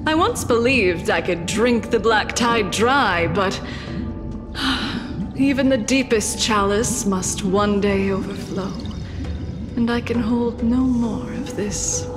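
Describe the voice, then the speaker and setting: A regal, sorrowful mother titan of the sea in a game cutscene. She speaks slowly and solemnly, gentle and weary. Her voice trails into a long reverb over music. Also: a little high. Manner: slow, solemn, formal speech.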